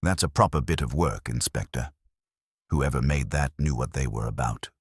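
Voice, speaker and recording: A man's voice: rumbling low, lively intonation, normal speed, breathy edge, no room sound, clean studio sound, exaggerated voice. A man voicing a proud, self-satisfied mechanical craftsman in a mystery audiobook, matter-of-fact about his expertise.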